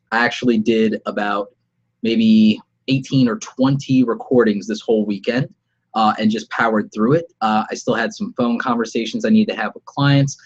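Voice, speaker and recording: A man's voice: lowish voice, slightly rough, dull audio. A man with a head cold, sounding congested and a little sore-throated, giving upbeat conversational advice in an unscripted live-stream vlog from a room at home, on a webcam about arm's length away. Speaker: vlogger. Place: home studio room, alone.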